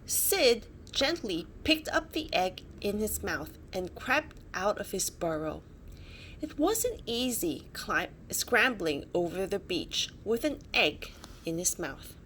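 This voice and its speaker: A woman narrating a children's bedtime story as a storybook reader, in an animated style. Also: medium pitch, takes it slowly, a little hiss or hum, rough recording.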